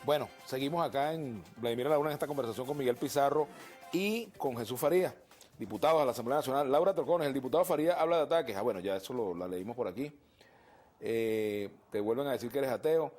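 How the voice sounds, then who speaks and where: A man hosting a TV talk show in a studio, moderating and reading out viewer messages in a brisk, conversational way. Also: mid-range voice, animated, a little mellow, soft background music. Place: television studio.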